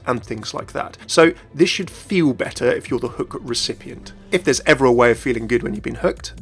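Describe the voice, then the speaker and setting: A man's voice: mid-range voice, a bit bright. A man hosting a gaming channel, delivering scripted news commentary. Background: music.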